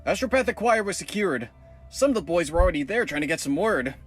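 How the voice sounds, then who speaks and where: An actor playing an excitable husky-voiced trooper reporting to his officer in a sci-fi audio drama. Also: high voice, fast. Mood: urgent, eager. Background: music.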